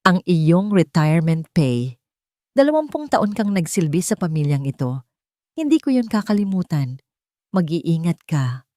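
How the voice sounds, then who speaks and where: Woman reading as earnest Filipino gentleman in love. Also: fairly low pitch, sing-song, a little slow, dry recording, clean studio sound. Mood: compassionate. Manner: earnest, serious. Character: sincere, gentle millionaire father in love. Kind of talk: audio storybook drama.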